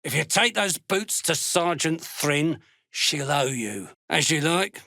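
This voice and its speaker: A man voicing a gruff, fanatical dark dwarf slave hunter in a video game, gravelly, curt, threatening and full of contempt. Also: on the high side, lively intonation, takes it slowly, dry recording, studio quality, exaggerated voice. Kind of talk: video game character voice lines.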